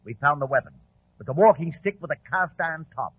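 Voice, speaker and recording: An officious police inspector, emphatic and blustering. His delivery swings widely in a husky, highish voice, on a tinny old 1940s radio drama recording. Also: animated, fairly quick, dry recording.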